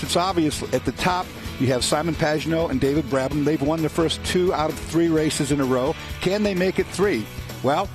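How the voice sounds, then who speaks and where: A slightly high-voiced man breaks down a sports car race as the broadcast's analyst, explaining qualifying and strategy point by point. Music sits clearly under his voice. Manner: explanatory, builds points step by step.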